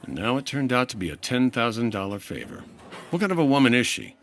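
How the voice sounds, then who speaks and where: Dry, expressive 1950s investigator. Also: male voice, mid-range voice, fast talker. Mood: wry, probing. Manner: dry, understated, world-weary. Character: patient, wry 1950s male insurance investigator. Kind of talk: old-time radio detective acting.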